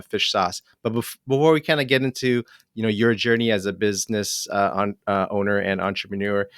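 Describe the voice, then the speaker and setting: A man in his forties hosting an interview, friendly and a little halting with frequent restarts. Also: on the low side, fairly bright tone, clean tone, no room sound, studio quality. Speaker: interview host. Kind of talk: hosted interview.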